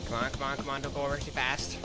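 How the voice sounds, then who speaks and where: A man's voice: mid-range voice, monotone, rapid-fire. Rambling male streamer over game music. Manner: rambling, self-correcting, with sudden loud outbursts at the game. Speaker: vtuber streamer. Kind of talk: casual gameplay chat. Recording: game music playing behind.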